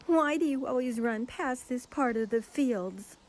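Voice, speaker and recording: A woman's voice: high voice, slow. A woman playing a sweet, carefree, teasing young farm girl in an audio drama. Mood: playful, calling out. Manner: playful, teasing.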